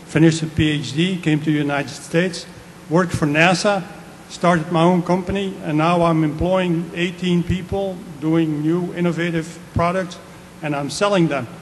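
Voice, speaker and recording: A man from Belgium speaks up from the audience, telling his own story in short, clipped clauses. His voice sits slightly high. The hall adds a long echo and faint noise. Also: fairly even intonation, moderate pace. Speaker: audience member. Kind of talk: audience comment.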